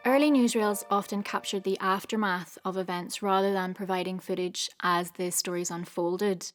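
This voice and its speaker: A woman's voice: medium pitch, fairly even intonation, moderate pace, no echo. Articulate woman commenting over music. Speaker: interviewed commentator on newsreel history. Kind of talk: explanatory documentary commentary.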